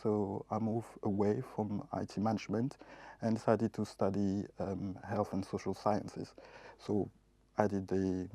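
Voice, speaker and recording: Low mellow-voiced man, earnest. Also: flat delivery, unhurried, dry recording. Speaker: NHS research staff, career changer. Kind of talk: recorded career testimonial.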